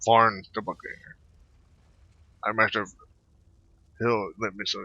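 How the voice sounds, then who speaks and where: A man giving casual, rambling commentary over a game playthrough, halting and often repeating himself. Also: on the low side, flat delivery, pushed and bright, slightly rough, no echo. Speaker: gaming video host. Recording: dull, lo-fi close dry mic.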